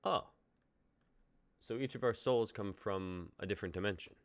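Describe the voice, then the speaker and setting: A man's voice: on the low side, normal speed, no echo, natural voice. A man reading the part of a curious young newcomer who asks hesitant questions.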